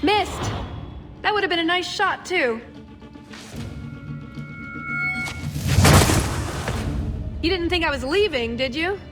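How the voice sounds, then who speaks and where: A woman playing an earnest, quick-witted, cheeky young elf agent in a video game. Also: very high-pitched, animated, big-room sound, over music. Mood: playful, confident. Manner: earnest, lightly playful. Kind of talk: video game character acting.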